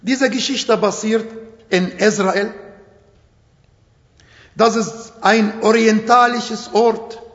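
Prediger mit arabischem Akzent, hohe Stimme, langsam im Hall. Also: male voice, high voice, fairly even intonation, a bit bright. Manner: slow, emphatic, non-native German phrasing. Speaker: preacher. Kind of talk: sermon. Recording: echoey hall, dull sound.

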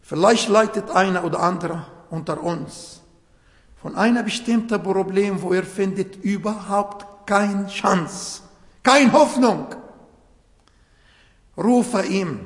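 A man's voice: high-pitched, slow pace. A man whose first language is Arabic, preaching a sermon in German in an earnest, emphatic way with grammatical slips. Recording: echoey hall with long reverb.

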